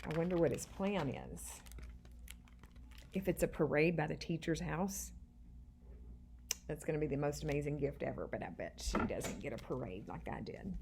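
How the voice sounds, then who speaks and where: A woman teacher reading a children's storybook aloud in an expressive, deliberate way, with little asides to her listeners. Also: sits low, gravelly, noisy background.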